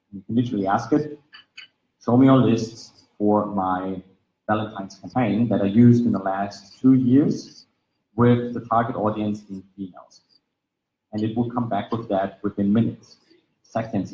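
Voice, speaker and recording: A man's voice: on the low side, mellow, echoey room, low-quality recording. A man from Austria with an Austrian German accent, German as his first language, giving a conference talk in an explanatory manner, leaning on fillers like "basically" and "right?". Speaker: conference speaker. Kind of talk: conference presentation. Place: conference venue.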